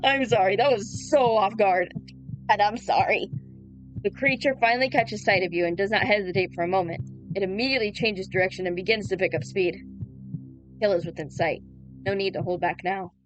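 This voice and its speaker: A woman's voice: mid-range voice, fairly quick, rough recording. A woman livestream host reading a visual novel aloud, with playful casual reactions. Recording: background music under the voice. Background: music.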